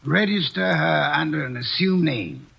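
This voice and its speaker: An actor playing a haughty, pompous detective in a 1940s radio drama, dry and sardonic. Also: male voice, a little high, very animated, moderate pace, gravelly, slightly rough, exaggerated voice. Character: haughty pompous male detective, fussy and imperious. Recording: dull lo-fi old radio recording.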